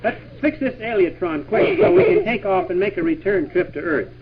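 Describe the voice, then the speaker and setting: An excitable astronaut commander acted out by a man in a homemade radio play, with a high voice that swoops widely. He exclaims and hands out urgent orders. The sound is a muffled home tape from the 1960s. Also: a little fast, heavy background noise, exaggerated voice. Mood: relieved, instructive. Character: excitable male astronaut commander leading a moon trip. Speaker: father playing with his children. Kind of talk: improvised pretend radio play.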